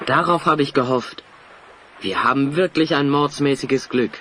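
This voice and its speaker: An actor playing a bold, confident adventurer in a German children's audio drama, assertive and theatrical. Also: male voice, mid-range voice, normal speed, pushed and bright, a little hiss or hum, lightly acted. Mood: determined. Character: bold, confident male adventurer leading his friends.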